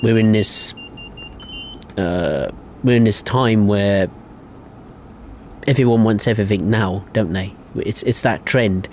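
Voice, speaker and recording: A man's voice: on the low side, slow, long pauses, a little mellow, slight background noise. A young man streaming a game, chatting casually and rambling with lots of hesitations while giving his opinions. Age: young adult. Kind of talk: casual live-stream chat.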